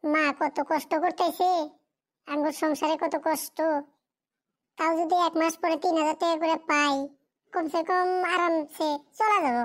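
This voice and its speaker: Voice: very high voice, fairly even intonation, a little slow, a bit bright. A performer voicing a poor, fatherless schoolboy in a cartoon drama, pleading and plaintive in a rural Bengali dialect. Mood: resigned.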